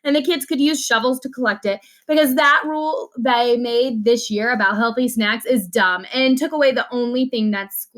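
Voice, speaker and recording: A woman reading a storybook aloud as an eager grade-school boy who insistently pitches his wild ideas. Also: moderate pace, hardly any pauses, fairly bright tone, natural voice.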